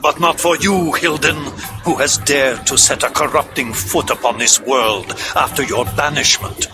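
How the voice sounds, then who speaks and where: An actor playing an ancient imprisoned vampire in a video game, stately and formal, with righteous accusation. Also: male voice, mid-range voice, normal speed, natural voice. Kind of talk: theatrical video game drama acting. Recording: music behind the voice.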